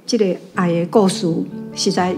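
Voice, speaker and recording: Taiwanese woman chatting over soft music. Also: fairly low pitch, steady tone, moderate pace. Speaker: reading club member sharing thoughts. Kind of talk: informal book discussion.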